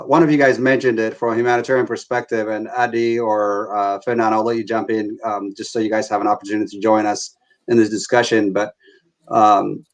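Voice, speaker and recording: Man, discussion moderator, video call, halting, filler-heavy, earnest. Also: lowish voice. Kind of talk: casual online panel discussion.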